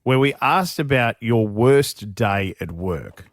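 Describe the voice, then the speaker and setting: A man's voice: medium pitch, expressive, slow, dry recording, studio quality. A man with an Australian accent hosting a breakfast radio show in a matey, informal chat style.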